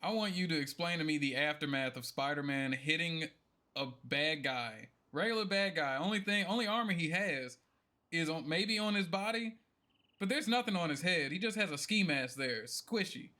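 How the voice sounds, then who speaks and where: A man's voice: a little high, animated, normal speed, bright, forceful tone. A man giving casual live stream commentary on a game, joking and swearing as he rambles through his opinions.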